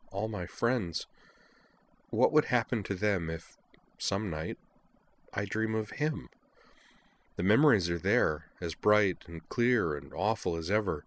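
A man voicing an anxious, sorrowful young woman who confides in a soft, hesitant murmur, as part of a story reading. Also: deep voice, moderate pace, natural voice. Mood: fearful, sad.

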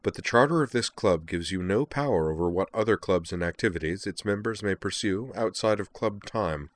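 A man's voice: lowish voice, expressive, voice pushed up, lightly acted. Man voicing a courteous, proud lizard swordsman. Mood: calm, firm. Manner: formal, flowery, measured courtesy. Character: courteous, proud lizard-like alien swordsman, calm, cheerfully cutting. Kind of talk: acted dialogue in a narrated story.

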